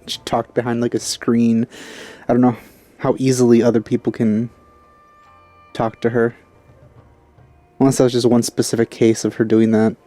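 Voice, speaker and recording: Mellow, offhand let's player. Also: mid-range voice. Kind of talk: casual gameplay commentary. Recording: game music behind the voice. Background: video game music.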